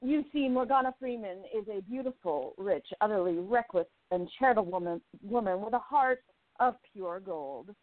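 A woman's voice: a little high, dry recording, phone-quality sound. A woman playing a flirtatious, catty 1920s gossip columnist in a radio play, arch and theatrical, savoring her words. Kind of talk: radio play narration and dialogue.

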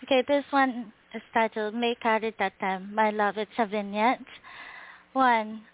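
A woman calling in to read her poetry aloud, slow and deliberate. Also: a little high, fairly even intonation. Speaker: caller reading poetry. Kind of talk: spoken word poetry reading. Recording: tinny phone line.

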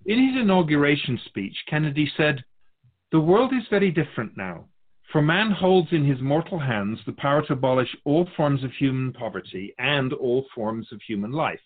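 A man playing a wise otherworldly guide of souls, teaching in a measured, philosophical and gently instructive conversational way. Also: on the high side, lively intonation, phone-quality sound. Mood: reflective, explaining. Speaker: guest answering questions. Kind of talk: conversational spiritual teaching, question and answer.

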